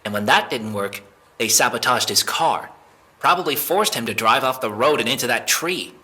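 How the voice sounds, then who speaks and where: A man's voice: medium pitch, expressive, normal speed, bright, forceful tone. A man playing a desperate, defensive young man under questioning in an audio drama, stammering and exasperated as he speaks. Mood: accusing.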